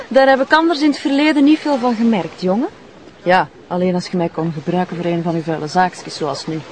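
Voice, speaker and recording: An actress playing a bitter, defiant younger sister in a radio drama, blunt and scornful, with a Flemish accent. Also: female voice, on the low side, moderate pace, a little hiss or hum, close to an everyday voice.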